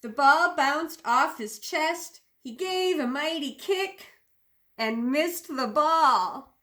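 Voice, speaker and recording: A woman's voice: high-pitched, expressive, slow, pushed and bright. A woman teacher reading a children's storybook aloud expressively, with emphatic sound words and simple asides to young listeners.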